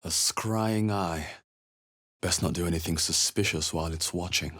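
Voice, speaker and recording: A male fantasy game hero on the road. He is wary and level-headed, speaking in short scripted lines. His delivery is measured and earnest, with a theatrical edge. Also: deep voice, fairly bright tone. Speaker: video game player character. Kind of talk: scripted game voice lines, short exploration remarks and spell chants.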